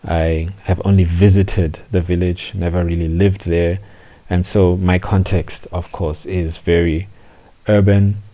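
A man in his thirties talks through a solo podcast introduction. His voice sits very low and sounds mellow and warm. He is reflective and unhurried, often restarting phrases and pausing as he finds his words. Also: little pitch movement. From South Africa. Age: 30s. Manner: reflective, with frequent restarts and hesitations. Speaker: podcast host.

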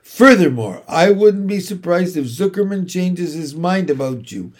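A husky, sneering rat from a children's storybook, acted out by a man. He is greedy and self-satisfied, stretching his words slowly and with relish. The voice sits fairly high and hoarse. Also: expressive. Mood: snide, taunting. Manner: drawn-out, relishing, emphatic delivery. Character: gluttonous, sneering old male barn rat.

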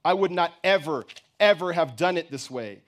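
A defiant young boy played by a male storyteller rails at his mother in a raised, loud pitch. He is indignant and insistent, pushing every demand hard in storybook fashion. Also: lively intonation, normal speed. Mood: enraged.

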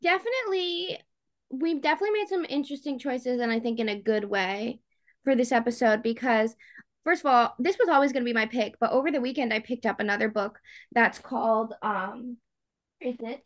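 Chatty woman with a higher-pitched voice. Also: a little fast. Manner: chatty, slangy, informal. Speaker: podcast co-host. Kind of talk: casual book chat.

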